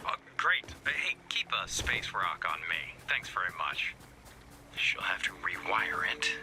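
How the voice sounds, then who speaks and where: An actor playing an anxious, wordy male scientist in a video game, with a halting, wry delivery and formal vocabulary. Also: deep voice, sing-song, pushed and bright, in a whisper, full character voice. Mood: awkward, upbeat, then wry, businesslike. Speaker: game character.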